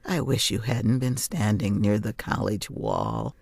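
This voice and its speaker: Woman acting a stern, low-voiced scolding mother. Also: sing-song, a little slow, vocal fry, no echo, studio quality, exaggerated voice. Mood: reproachful. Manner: sharp, reproachful, urgent. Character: stern, bitter, scolding mother of a grown daughter. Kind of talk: audiobook character voice.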